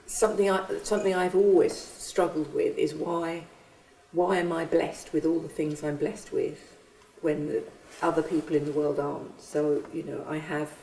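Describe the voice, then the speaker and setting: Lady, gravelly low-key warm tone, sounds a bit far off in an echoey room. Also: level tone, a little hiss or hum. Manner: earnest, halting with restarts. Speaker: church member and refugee host. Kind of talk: reflective testimony. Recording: roomy, from a distance.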